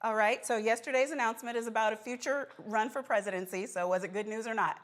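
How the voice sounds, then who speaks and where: Higher-pitched woman news host. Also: fast talker. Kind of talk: scripted news reading and interviewing.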